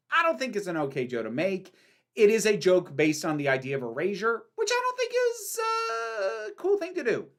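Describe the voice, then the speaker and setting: A woman hosting a casual livestream, reading out chat questions and answering candidly, with frequent ums and restarts. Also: mid-range voice. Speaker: livestream host.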